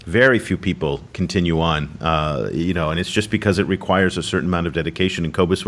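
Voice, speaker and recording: A man hosting a podcast interview, talking casually and rambling a little, with frequent fillers and restarts. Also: on the low side. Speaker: podcast host.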